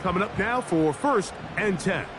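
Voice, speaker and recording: A man's voice: high-pitched, expressive, normal speed. A man playing a football play-by-play announcer, calling the game as commentary. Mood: focused. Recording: very noisy gameplay capture, ambient thumps.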